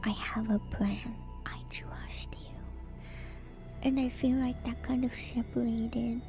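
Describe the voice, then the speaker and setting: A woman streamer whispering softly and breathily close to the mic in an ASMR style, gently repeating words as she reads and comments. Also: on the high side. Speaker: VTuber streamer.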